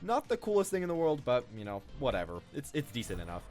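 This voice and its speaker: A man's voice: medium pitch, huge pitch swings, fast talker, darkish tone, soft background music. A man giving casual, unscripted, rambling commentary as the host of a gaming video. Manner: rambling, informal.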